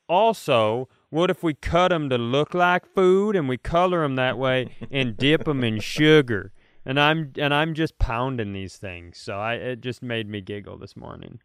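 A man's voice: medium pitch, normal speed, a bit bright, clean tone, no echo, studio quality. A man co-hosting a comedy podcast, telling rambling, self-mocking stories in casual, playfully exaggerated banter.

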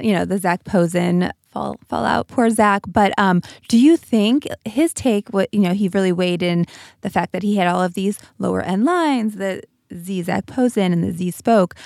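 A woman's voice: mid-range voice, normal speed, gravelly, a little mellow, no echo. Woman hosting, dry close sound. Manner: informal, reactive, rephrases questions as she goes. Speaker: podcast interviewer, host. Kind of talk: casual conversational interviewing.

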